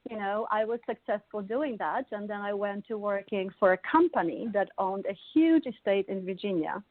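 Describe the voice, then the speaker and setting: A woman's voice: medium pitch, normal speed, dry recording. Woman, polish accent, middle-aged, chatty interview guest, laughs a lot, phone-like sound, dry. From Poland. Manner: warm, laughs often while telling stories. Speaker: guest, wellness life coach. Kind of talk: casual interview storytelling. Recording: dry, tinny call audio.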